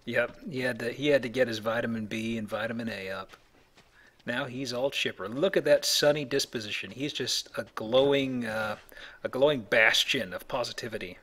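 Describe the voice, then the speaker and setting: A man's voice: medium pitch, moderate pace, bright, forceful tone. A man hosting a let's play with casual, explanatory game commentary and a joking streak. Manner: explanatory, jokey, voices paraphrased quotes. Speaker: let's play host and guide.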